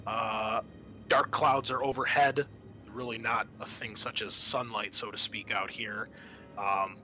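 A man running a tabletop roleplaying game as game master, narrating in a halting, improvised way. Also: on the low side, sharp tone. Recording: noticeable background noise.

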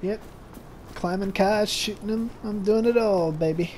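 A man's voice: high-pitched, measured pace, slight background noise. A man giving improvised, joking, mock-heroic commentary in character as he plays a video game. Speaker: gamer voicing the protagonist as a joke. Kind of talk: improvised comic gameplay commentary. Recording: loud gunfire and game sound behind. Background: game gunfire and ambience.

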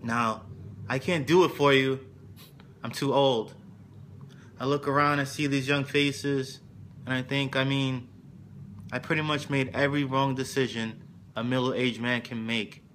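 Man acting intense middle-aged football coach, bright voice. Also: medium pitch, fairly even intonation, unhurried, long pauses, slight background noise, lightly acted. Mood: regretful, confiding. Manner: intense, swings from quiet confessing to shouted exhortation. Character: weary middle-aged male football coach rallying his team. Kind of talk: dramatic film monologue, locker-room speech.

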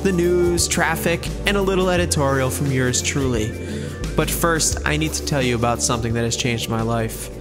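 A man's voice: medium pitch, moderate pace, over music, lightly acted. A man playing a slick future talk host. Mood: upbeat. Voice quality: smooth, slick announcer delivery. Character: slick smooth-talking male future podcast host. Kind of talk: comedic radio-show parody.

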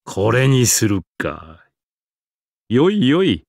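A man's voice: medium pitch, sing-song, very slow, gravelly, dry recording, clean studio sound, exaggerated voice. A man voicing a proud, genial old king in a game, with a stately, archaic way of speaking. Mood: approving, genial. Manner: stately, archaic, self-satisfied. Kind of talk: Japanese game character voice acting.

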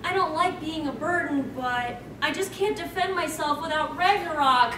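A woman's voice: high-pitched, moderate pace. A young woman doing a live comedic dramatic reading as a panel host, with wry, theatrical, self-interrupting delivery. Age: young adult. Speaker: convention panel co-host reading fan fiction. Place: convention panel room stage. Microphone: far camera. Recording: echoey room from far off, noisy.

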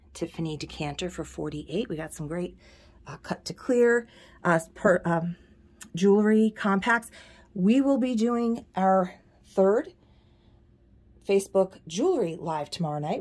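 A middle-aged woman with a fairly low voice walks through her antique shop, chatting casually about each piece and its price. She films on a handheld phone indoors among the glass shelves. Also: breathy edge. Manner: chatty, pricing items as she goes. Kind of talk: casual video shop tour. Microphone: handheld phone camera.

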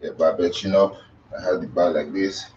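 A man's voice: sits low, moderate pace, slight background noise. A young man chatting casually to viewers while flexing, filmed on a phone in a small home kitchen. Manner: relaxed, loose, chatting while posing. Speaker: bodybuilding vlogger. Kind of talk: casual chat to live viewers. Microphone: phone camera across the room. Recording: roomy, distant, dull phone audio.

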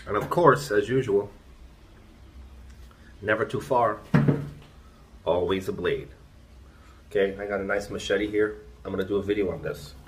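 A man's voice: on the low side, normal speed, pauses often, slight background noise. A man, hosting his own vlog and giving an emphatic, lecturing rant to a phone camera in a small room indoors. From Trinidad and Tobago. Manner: emphatic, lecturing, repeats points. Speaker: vlogger, channel host. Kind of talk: ranting vlog monologue to camera. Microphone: phone camera at arm's length.

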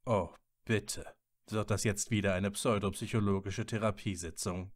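A man plays a stern and guarded wizard in an audio drama. His voice sits low and is recorded dry and close. He is curt and sardonic, with a bitter, tormented core. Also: steady tone, moderate pace, no room sound, natural voice. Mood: irritated, cold. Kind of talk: fan audio drama acting.

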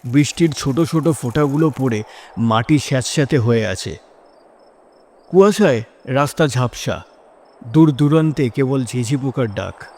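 A man's voice: medium pitch, moderate pace, long pauses, a little mellow, a little hiss or hum. A man narrating a scripted first-person horror story in a dramatic, suspenseful manner.

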